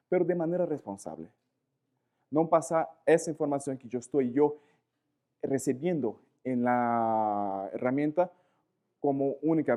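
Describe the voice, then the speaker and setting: Portuguese-accented man lecturing animatedly. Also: on the high side. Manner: animated, searching for words, frequent rhetorical questions. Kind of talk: live lecture to students.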